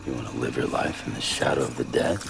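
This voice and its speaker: A man playing a gruff, weary loner courier in a cinematic game, muttering tersely with dry sarcasm and a gravelly voice. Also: extremely low pitch, fast talker, over loud music, full character voice. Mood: guarded, dry.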